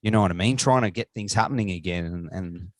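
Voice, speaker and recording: A man's voice: deep voice, fast, no echo. A man hosting a casual podcast interview, chatty and enthusiastic, with rambling questions. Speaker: podcast host.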